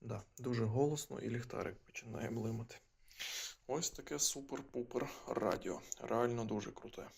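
A man's voice: mid-range voice, moderate pace, gravelly, very noisy. A man giving a casual, unscripted product demonstration, explaining and thinking aloud as he goes. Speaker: gadget reviewer. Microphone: phone filming the demonstration.

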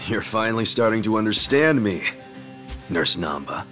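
Loyal middle-aged hero, low male voice, earnest, video game drama, music behind. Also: sing-song, moderate pace, pushed and bright. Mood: reassuring, steady. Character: earnest, loyal underdog hero, a man around forty.

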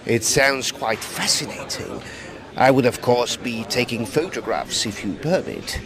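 An actor playing a suave, eager Edwardian occult detective in an audio drama, courteous and teasing. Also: male voice, medium pitch, unhurried, crowd noise, lightly acted.

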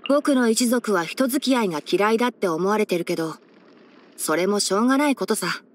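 A woman voicing a boyish young male fox-eared forest ranger and scholar in an anime game, instructive and frank with a gently teasing manner. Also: mid-range voice, lively intonation, a little fast, close to an everyday voice. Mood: matter-of-fact. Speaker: character voice actor. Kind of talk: anime game character voice lines.

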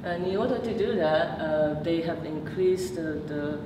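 Low-voiced woman in echoey room. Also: unhurried. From Japan. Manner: hesitant, many fillers and restarts. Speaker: PhD researcher giving a talk. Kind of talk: public lecture with slides. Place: meeting room. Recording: echoey room from far off, noisy.

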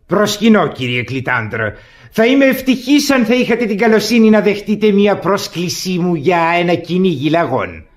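High-pitched pompous old aristocrat. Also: male voice, sing-song. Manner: pompous, imperious, formal. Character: pompous older aristocratic father-in-law, stickler for rank. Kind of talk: classic comedy radio theatre acting.

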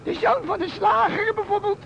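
A man's voice: normal speed, a bit bright, exaggerated voice. A man voicing a deferential, rambling police sergeant and grumbling father in an old radio play. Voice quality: very high, creaky. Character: coughing, deferential small-town police sergeant, high-voiced, grumbling father. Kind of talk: vintage Dutch radio play acting. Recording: dull, noisy old radio recording.

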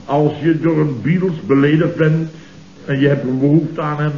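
An actor playing a long-winded, aggrieved office boss in an old comedy radio play, theatrical and pompous. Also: male voice, fairly high pitch, steady tone, normal speed, a little hiss or hum, lightly acted. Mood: pompous, indignant. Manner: theatrical, pompous, repeating his listener's name. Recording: old roomy, muffled radio recording.

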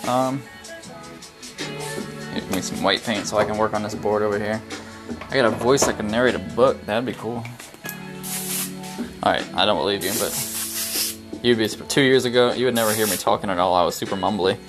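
Low-voiced man explaining airbrush basics. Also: moderate pace, pauses often. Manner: relaxed explaining, frequent ums, talks while working. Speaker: airbrush artist. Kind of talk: casual live-stream tutorial while painting. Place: small brick-walled studio, indoors. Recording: music playing under the voice. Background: music playing, airbrush hiss.

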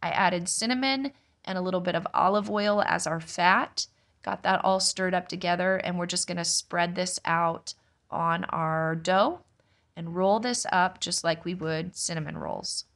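A young woman, a mother, casually narrating a home cooking vlog in a relaxed, explanatory way. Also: on the low side, a little slow. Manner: relaxed, explanatory, chatty with light laughs. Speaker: homestead vlogger, mother.

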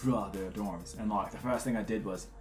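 A young man with a lowish voice who talks quickly, acting out what people said with lots of fillers. The sound is roomy, like a living room, and soft background music plays underneath. It feels like casual chat with a friend. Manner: animated storyteller, acts out quotes, many fillers. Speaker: host, former reality show contestant.

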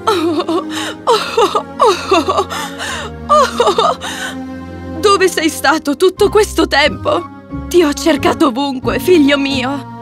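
High-voiced, slow, fretful fairy tale mother. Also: animated, over music, exaggerated voice. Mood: tearful relief. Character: worried, loving mother of a poor boy. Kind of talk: children's fairy tale cartoon acting.

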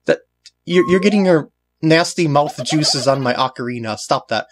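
Animated man doing jokey game commentary. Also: a little high, normal speed. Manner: jokey, rambling, lapses into silly voices for characters. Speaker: video game walkthrough commentator. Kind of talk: casual gameplay commentary. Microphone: voice recorded on a compact camera's microphone. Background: video game music.

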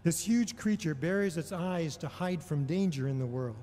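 A middle-aged man delivering a prepared homily in a measured, deliberate way, pausing often between phrases. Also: a little high, dark tone. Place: large indoor church or hall. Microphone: gooseneck microphone on a lectern. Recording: long reverberation of a large hall.

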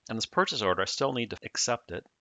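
Male software demo narrator, lowish pitch, crisp forward tone, plain step-by-step delivery. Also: lively intonation, fast, dry recording. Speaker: software demonstrator. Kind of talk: scripted tutorial narration.

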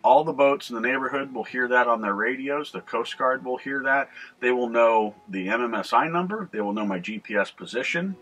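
A man's voice: mid-range voice, fairly quick, fairly bright tone, rough recording. A middle-aged man with a North American accent, giving an informal, conversational how-to explanation as a video host. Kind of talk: informal instructional vlog. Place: inside a small boat cabin at the helm.

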